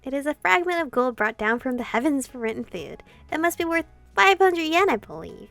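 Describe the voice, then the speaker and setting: A woman hosting a gameplay channel, reading dialogue aloud and commenting in an expressive way, free with swearing. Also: high-pitched, fairly quick, vocal fry. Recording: game music behind.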